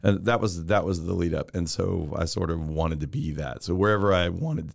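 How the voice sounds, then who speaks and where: A deep, gravelly man with a strong Texan accent chats as a podcast guest, enthusiastic and fond of telling stories. His voice is very low and crackly with fry, recorded close and dry with no room sound at all. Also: moderate pace, no echo. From Texas. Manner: enthusiastic, chatty storyteller with frequent laughs. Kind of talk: casual chat.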